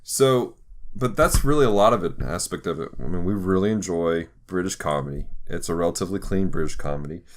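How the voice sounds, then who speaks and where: A man with a low voice hosts a podcast. He talks in a casual, chatty style, as in conversation with a co-host. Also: expressive, fast. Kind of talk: casual chat.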